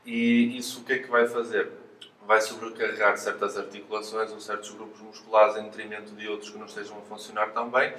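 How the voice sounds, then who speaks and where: Male physiotherapist interviewee, European Portuguese accent, fairly low voice, halting explanations, recorded at some distance from the mic. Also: little pitch movement, bright, forceful tone. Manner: hesitant, halting, explanatory delivery with repeated words. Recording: roomy echo, distant mic, faint noise.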